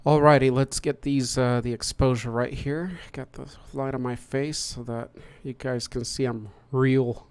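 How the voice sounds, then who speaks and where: A man's voice: medium pitch, level tone, measured pace, few pauses, darkish tone, clean tone. Chatty man hosting a livestream. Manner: chatty, upbeat, talks through setup. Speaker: podcast host. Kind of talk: casual livestream chat.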